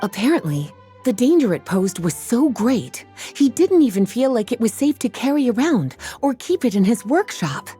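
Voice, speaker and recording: A sultry, unhurried witch librarian for a video game. Her mellow, warm voice moves in lively melodic curves as she explains things at a leisurely pace with a teasing edge. Faint music plays behind her. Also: on the high side, husky, full character voice. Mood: explaining. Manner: languid, unhurried, gently teasing.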